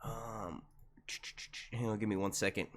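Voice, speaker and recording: A young man hosting a casual live stream at home into a desk microphone, chatty and profane, with a naturally loud, carrying voice. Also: lowish voice, steady tone, unhurried. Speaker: YouTube live stream host. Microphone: desk mic.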